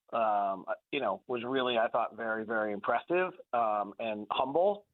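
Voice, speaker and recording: A man's voice: medium pitch, no echo. A man giving conversational basketball analysis as a guest on sports radio, talking analytically and repeating words for emphasis. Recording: thin phone-like band-limited line.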